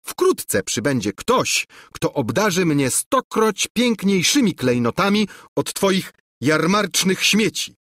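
A man's voice: sing-song, slow pace, fairly bright tone, no room sound, studio quality, heavily acted. A man voicing a haughty, spoiled young princess who shrieks in anger, reading a storybook aloud. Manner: shrill, shrieking when angry.

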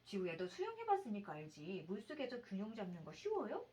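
A woman's voice: medium pitch, huge pitch swings, a little fast, mellow. A woman reading a children's science storybook aloud as a mother, expressively, explaining like a teacher and putting questions to the child.

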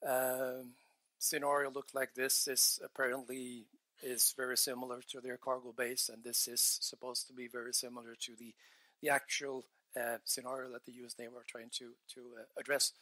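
A man's voice: medium pitch, flat delivery, moderate pace, bright, forceful tone. A man in his forties giving an earnest technical talk on a headset mic in a large conference hall, gesturing as he speaks and often restarting his phrases. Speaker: conference presenter.

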